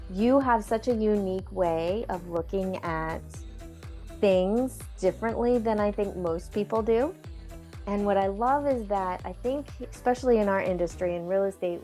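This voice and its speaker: A woman's voice: medium pitch, mellow. A woman hosting her first podcast interview, enthusiastic and encouraging as she draws out her guest. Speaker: podcast host. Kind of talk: conversational interview.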